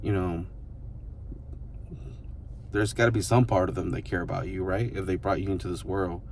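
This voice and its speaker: Deep-voiced man in his thirties, rambling casually. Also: steady tone, normal speed, a little hiss or hum. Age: 30s. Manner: rambling, loose, full of fillers such as "you know" and "like". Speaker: vlogger. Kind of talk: casual reflective monologue to the camera.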